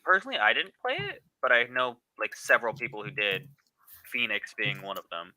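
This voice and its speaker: A man's voice: mid-range voice, expressive, brisk, crowd noise, poor audio. A man chatting casually as a panelist on a talk show. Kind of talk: casual chat.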